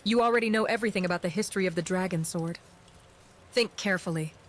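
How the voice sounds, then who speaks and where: A woman's voice: on the low side, fast, close to an everyday voice. A woman voicing a stern, secretive female resistance agent in a fantasy video game. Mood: stern, serious. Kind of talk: video game character dialogue.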